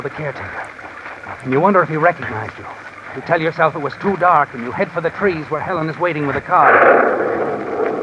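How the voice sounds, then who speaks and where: A man playing an ominous, all-knowing suspense narrator, telling the tale deliberately to the guilty character in 1950s radio style. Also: a little high, natural voice. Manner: hushed, deliberate, dramatic second-person telling. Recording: muffled noisy vintage radio, rain behind.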